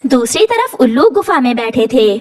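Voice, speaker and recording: A woman's voice: high-pitched, sing-song, moderate pace, no echo, studio quality. A woman narrating a scripted cartoon story in the manner of a storyteller.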